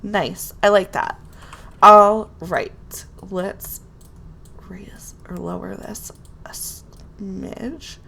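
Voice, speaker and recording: A woman's voice: mid-range voice, steady tone, very slow, gravelly, a bit bright, slight background noise. A woman with a nasal, congested voice from a cold, chatting casually as she builds in a game and talks to herself. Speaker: Sims building video creator. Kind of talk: casual real-time build commentary.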